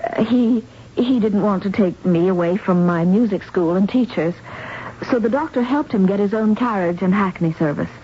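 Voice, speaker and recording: A woman's voice: medium pitch, moderate pace, close to an everyday voice. A woman playing an earnest, bereaved young woman, sincere and hesitant, in a 1970s radio drama. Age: young adult. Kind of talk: 1970s radio drama acting with first-person narration. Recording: dull, noisy 1970s radio recording.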